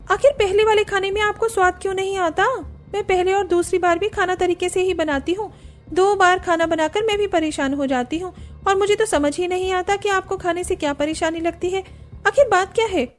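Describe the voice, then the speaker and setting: Woman doing a very high-pitched aggrieved young woman. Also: fairly even intonation, brisk, faint music behind. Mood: upset, aggrieved. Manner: complaining, insistent. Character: aggrieved young Indian daughter or daughter-in-law in cartoon. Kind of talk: acted cartoon story dialogue.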